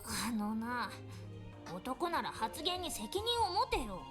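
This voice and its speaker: Tomboy high school girl, high voice, rough slangy speech, blunt, restless, visual novel game, clear music behind. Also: very animated, normal speed. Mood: scolding. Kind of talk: Japanese visual novel voice acting.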